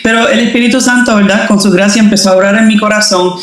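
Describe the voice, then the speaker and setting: A woman from Puerto Rico with a Puerto Rican accent, a doctor sharing her faith story as a guest, relaxed and full of fillers. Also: medium pitch, fast talker, very echoey. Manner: relaxed, many fillers and restarts. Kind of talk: casual faith testimony.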